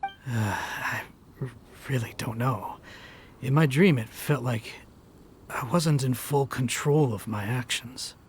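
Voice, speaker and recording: A man's voice: mid-range voice, slow, slight background noise, close to an everyday voice. A man voicing a drowsy, just-woken villager in a video game, sleepy and hesitant. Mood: groggy.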